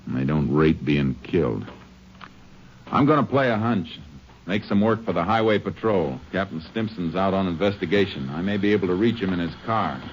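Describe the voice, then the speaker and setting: Deep-voiced, terse Texas Ranger. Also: male voice, expressive, normal speed. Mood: thoughtful, terse. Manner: clipped, matter-of-fact, terse. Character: calm, methodical male Texas Ranger investigator. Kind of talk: 1950s radio western drama acting and narration. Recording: dull old radio recording with clear hiss.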